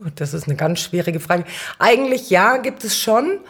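A woman's voice: medium pitch, animated, normal speed, a bit bright, slightly rough. A middle-aged woman answering as an interview guest, conversational and reflective, often searching for the right words. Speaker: city councillor, podcast guest.

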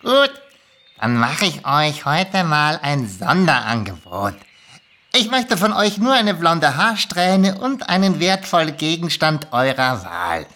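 An actor doing a grumpy magical kobold from a storybook. The voice is sharp and forceful, and the pitch swings up and down. He haggles with sly nonchalance and is quick to get irritated. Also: sing-song, heavily acted. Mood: casual, wheeling-dealing. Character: grumpy, sly male kobold dealmaker, ancient magical creature. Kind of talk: lively audiobook character reading.

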